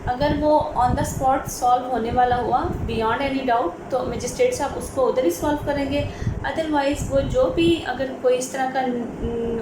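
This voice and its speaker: High-voiced Pakistani woman lecturing in echoey room. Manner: explanatory, mixes in English legal terms. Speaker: advocate teaching law. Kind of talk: informal legal lecture. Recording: echoey room, clear background noise.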